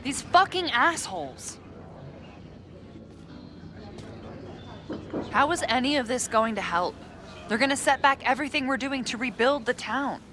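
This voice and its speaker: Animated, blunt young woman. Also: sits high, normal speed. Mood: exasperated. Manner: casual, blunt, slangy. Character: blunt, teasing young woman running a town music venue. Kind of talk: naturalistic video game acting. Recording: music under the voice.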